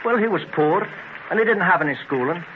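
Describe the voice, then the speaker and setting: An earnest, ambitious lad in an old radio play. His voice sits fairly high and he argues his case with conviction. The muffled 1930s recording is thick with noise. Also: animated, fairly quick. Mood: earnest, confident. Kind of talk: 1930s radio drama acting.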